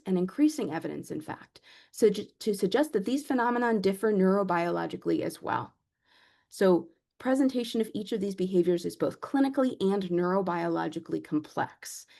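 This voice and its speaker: A woman lecturing as a clinical psychologist, speaking in a measured, explanatory way with a naturally soft voice. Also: medium pitch, vocal fry. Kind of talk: academic lecture.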